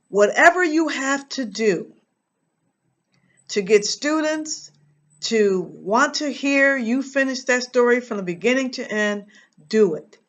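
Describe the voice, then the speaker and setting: An American woman with a fairly high voice presents a lesson as a teacher. She speaks slowly and deliberately, leaning on key words and leaving dramatic pauses. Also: a bit bright. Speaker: reading teacher and channel host. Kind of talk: instructional talk to camera.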